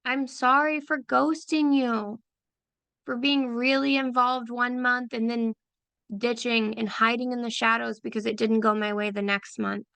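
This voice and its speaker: A woman with a slightly high, bright voice leads a meditation at a very slow, deliberate pace. Her tone is soft and affirming, with a gravelly edge of vocal fry, and the recording is dry and close. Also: fairly even intonation, no echo. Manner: soft, unhurried, affirming, repeating phrases. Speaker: meditation guide for creative business owners. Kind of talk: slow guided visualization.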